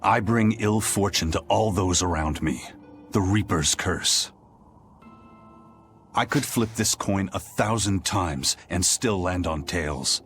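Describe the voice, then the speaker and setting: Game casting: grim, measured pirate man, notably deep, ominous and fatalistic. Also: fairly bright tone, soft background music. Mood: grave warning. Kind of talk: English video game voice acting.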